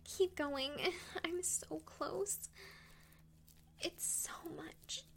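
Woman, high voice, touches of creak, breathy whispering, affectionate, intimate partner roleplay. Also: drawn-out words, a bit bright. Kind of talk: intimate erotic audio roleplay, addressing a partner.

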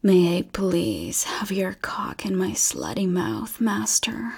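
A woman performing an adult audio roleplay, mostly whispering in a breathy, teasing way with frequent moans. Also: mid-range voice, wildly swooping pitch, slow, a little mellow.

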